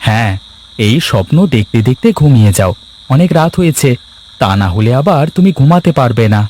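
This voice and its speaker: A man voicing village farmers in a cartoon fairy tale, colloquial and expressive. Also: medium pitch, brisk, slight background noise, natural voice. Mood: gentle, reasoning. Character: male villagers: plain farmers, husband, scheming rival.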